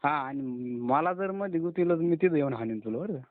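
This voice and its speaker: A man's voice: a little high, animated, brisk, dry recording. A young man, chatting colloquially and a bit grumblingly with a friend on a phone call. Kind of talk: casual phone chat with a friend. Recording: narrow, tinny phone call recording.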